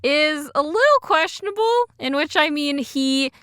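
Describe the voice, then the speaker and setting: A young woman co-hosting a podcast, chatting casually and retelling a story in an animated, chatty way. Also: high-pitched, a little slow, no echo, clean studio sound. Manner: chatty, animated, acts out quoted dialogue. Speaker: podcast co-host. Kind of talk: casual chat, retelling a cartoon's plot.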